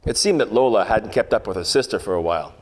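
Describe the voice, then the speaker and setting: An actor playing a world-weary, wisecracking 1940s private detective, narrating in hardboiled radio-play style with deadpan asides. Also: male voice, on the low side, quick pace, long reverb tail. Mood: wry. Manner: wry, colloquial, deadpan asides.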